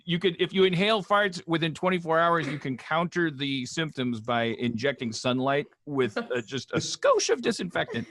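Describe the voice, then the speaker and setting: A man's voice: fairly high pitch, animated, moderate pace, hardly any pauses, fairly bright tone, dry recording. A man narrating stage directions at a comedy table read over a video call, with a wry, joking manner.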